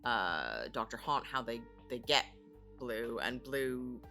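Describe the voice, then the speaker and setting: A woman's voice: rumbling low, sing-song, takes it slowly, sharp tone. A woman co-hosting a casual discussion in a reflective, wry, conversational way. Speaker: co-host, voice actor. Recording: music playing underneath.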